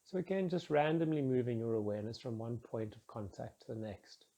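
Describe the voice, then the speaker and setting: Mellow, husky man guiding a meditation. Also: mid-range voice. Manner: calm, soothing, measured instruction with soft phrase endings. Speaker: meditation guide. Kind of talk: guided meditation.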